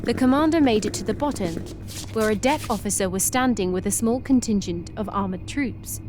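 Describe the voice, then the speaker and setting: A woman reading a science-fiction story aloud in a steady, descriptive way. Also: on the high side, fairly quick, noisy background. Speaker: narrator. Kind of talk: scripted story narration.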